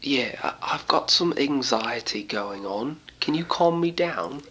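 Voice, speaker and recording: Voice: medium pitch, expressive, unhurried, fairly bright tone, husky. A caller to a phone line, making a short request for help.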